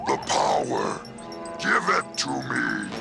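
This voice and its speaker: Gravelly, slow-speaking villain king. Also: medium pitch, wildly swooping pitch, music in the background, exaggerated voice. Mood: menacing. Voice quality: gravelly growl. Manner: slow, threatening, imperious. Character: menacing, commanding villain king of alien marauders. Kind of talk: dramatised children's read-along storybook. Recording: dramatic score behind the voice.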